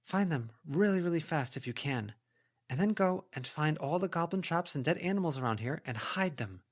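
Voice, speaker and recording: Man putting on a high-pitched, urgent young girl witch. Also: lively intonation, moderate pace. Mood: urgent coaxing. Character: Verona, bossy young girl witch, persuasive and eager. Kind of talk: dramatized audiobook character voice.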